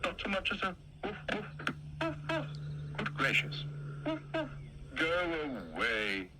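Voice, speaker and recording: A man's voice: high-pitched, wildly swooping pitch, a little slow, background noise, exaggerated voice. A man voicing a fussy, anxious lion who grumbles and frets in a storybook reading. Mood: distressed. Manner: fretful, grumbling. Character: fussy anxious male lion, easily flustered.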